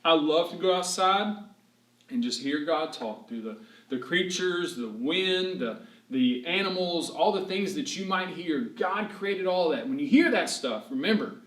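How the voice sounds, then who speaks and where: A man with a high, very expressive voice reads a storybook lesson to young children. He is encouraging and prompts his listeners with questions, stretching key words for emphasis. The sound is roomy, with noticeable echo. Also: unhurried. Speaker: children's ministry storyteller and teacher. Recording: roomy, echoing room sound.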